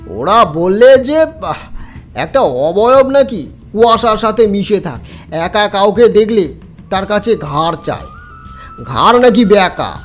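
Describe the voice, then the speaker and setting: A man playing a secretive, terse village man in a horror audio story, speaking with deliberate, eerie calm. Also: high voice, faint music behind, heavily acted. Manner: slow, deliberate, evasive.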